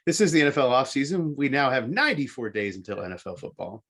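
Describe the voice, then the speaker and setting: A man co-hosting a sports show, recapping news in a halting, measured way with repeated words. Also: medium pitch, big pitch swings, fairly bright tone.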